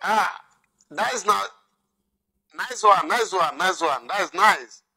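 Cheery nigerian guy in his 30s on a video call, high bright voice, says everything twice. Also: slow pace, gravelly, husky. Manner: repeats each phrase, cheerful and friendly. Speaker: video call chat partner. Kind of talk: casual video call chat. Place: indoors at home.

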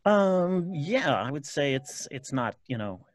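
A man's voice: a little high, sing-song, slow pace. A man with a dry, joking manner, chatting casually as a guest on a video call. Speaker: podcast guest, voice actor. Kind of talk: casual chat. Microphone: microphone on a video call.

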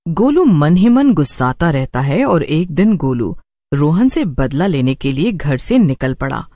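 A man's voice: fairly high pitch, sing-song, unhurried, warm tone, no echo, studio quality. A man narrating a scripted children's horror story as a storyteller.

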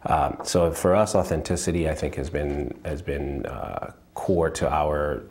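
A man in his forties from Liberia, giving measured interview answers as a company co-founder and repeating phrases for emphasis. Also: a bass voice, a little mellow. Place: indoors, shop with product shelves.

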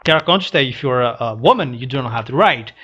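A young man who is not a native English speaker, giving casual reaction commentary in a halting, hesitant way into a headset mic. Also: mid-range voice, expressive, pushed and bright, husky. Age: young adult. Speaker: reaction channel host. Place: small room indoors. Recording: dull lo-fi headset audio.

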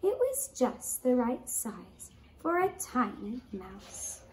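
A woman in her thirties narrating a children's story for a puppet show, with gentle, deliberate phrasing, recorded from a camera in a room. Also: on the high side, sing-song. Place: indoor puppet stage in a decorated room. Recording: roomy echo, clear background noise.

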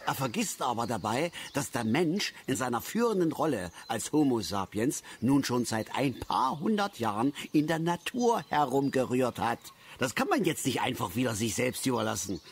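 A stubborn middle-aged fisherman in a radio drama, full of self-pity. He grumbles in colloquial, halting bursts with very animated intonation at a medium pitch. Faint outdoor ambience with birds sits behind him. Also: gravelly, slight background noise. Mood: ranting, grumbling. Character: grumpy middle-aged male angler, stubborn, self-pitying husband. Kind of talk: naturalistic German radio play acting.

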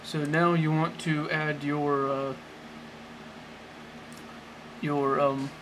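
Male amateur tutorial host, slightly high register, hesitant and self-correcting, casual delivery, faint noise on a handheld camera recording. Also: flat delivery, a bit bright, low-quality recording. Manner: halting, rambling, self-correcting. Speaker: amateur tech tutorial presenter. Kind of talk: casual unscripted how-to demonstration. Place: small indoor room at a desk. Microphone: handheld camera, off-screen close behind it.

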